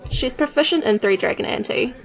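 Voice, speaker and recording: A woman's voice: on the high side, expressive, normal speed, gravelly, low-quality recording. A woman chatting casually as a player in a tabletop game.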